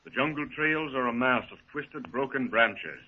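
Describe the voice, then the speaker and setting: A man's voice: medium pitch, animated, moderate pace. A man narrating a 1930s radio drama from a script in formal storytelling style. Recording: thin, dull 1930s radio recording.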